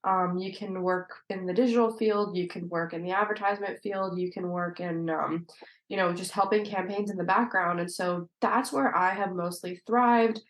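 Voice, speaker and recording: A woman's voice: on the low side, steady tone, normal speed. Woman interview guest in an echoey room. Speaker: guest, state legislator. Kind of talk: conversational interview answers. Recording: roomy, echoey sound.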